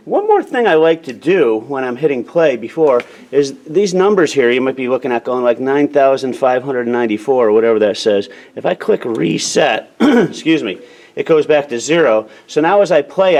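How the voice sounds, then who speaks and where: A man's voice: medium pitch, animated, moderate pace, a little mellow. An American man giving a casual hands-on demonstration as an instructor, explaining plainly in small steps and checking in as he goes.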